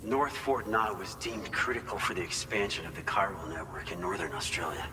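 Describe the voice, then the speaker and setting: An actor playing an earnest, grateful facility staffer in a video game cutscene, speaking in a measured, polite, explanatory way. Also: male voice, low-pitched, in a large hall, noisy background, lightly acted. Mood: grave. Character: earnest male facility staffer briefing a porter, grateful and hopeful.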